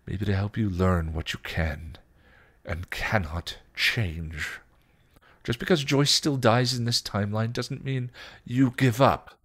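A man's voice: lowish voice, takes it slowly, a bit bright, pitched above the performer's own voice. A man voicing a stern, principled man who is losing patience, with firm and formal emphatic speech, for a story. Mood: firm, earnest.

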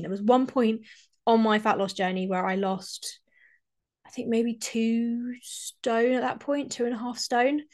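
A woman, hosting a solo podcast in a chatty, enthusiastic way while a little congested from a cold. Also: a little high. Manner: chatty, enthusiastic, repeats and restarts phrases. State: slightly congested, recovering from a cold. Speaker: podcast host and fitness coach.

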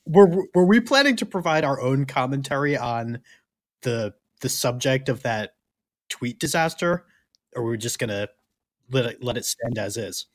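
A man with a medium-pitched voice trades casual banter as a podcast co-host. His recording is dry, without room sound. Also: animated, normal speed, no echo.

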